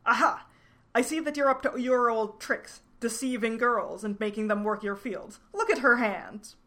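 A woman's voice: very animated, a little slow, a bit bright, full character voice. A woman voicing a tiny furious male yam creature who rants indignantly. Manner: shrill, indignant, ranting.

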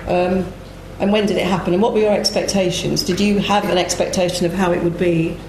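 A woman's voice: on the low side, steady tone, fairly quick, darkish tone. A woman moderating a live panel, putting questions to guests in an informal style. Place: live festival panel in a roomy venue. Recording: echoey room with clear background noise.

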